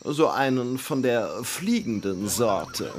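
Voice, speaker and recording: An actor playing a demanding, self-satisfied plantation owner in a fairy-tale audio drama, formal and drawn-out. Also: male voice, medium pitch, big pitch swings. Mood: haughty, leisurely.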